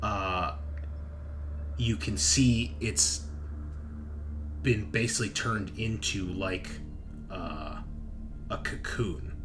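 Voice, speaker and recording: A man narrating a sci-fi horror tabletop game as game master, speaking haltingly and thinking aloud with pauses. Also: lowish voice, music in the background.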